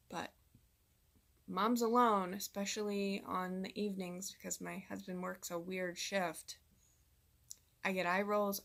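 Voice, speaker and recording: A woman's voice: mid-range voice, a bit bright. Exasperated mom in her thirties venting. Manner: exasperated, candid venting to viewers. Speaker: mom vlogger. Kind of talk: direct-to-camera vlog monologue.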